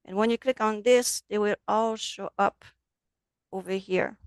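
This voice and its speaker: A woman with a French accent, a native French speaker, teaching a relaxed online software class over a video call. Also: medium pitch, a little slow, clean tone, no room sound, studio quality. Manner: friendly, loose, switches between English and French. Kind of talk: casual online class walkthrough.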